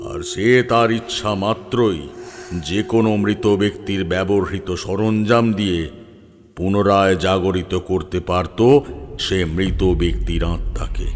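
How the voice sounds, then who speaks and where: A man playing a grave tantric holy man, recounting horror tales in a solemn, measured way with dramatic pauses. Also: low voice. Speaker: storyteller character. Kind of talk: scripted horror storytelling, audio drama. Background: loud background music.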